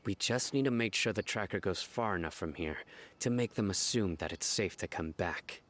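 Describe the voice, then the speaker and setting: An actor playing a stern, calm male officer in a video game, speaking in a measured, quietly threatening way. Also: low voice.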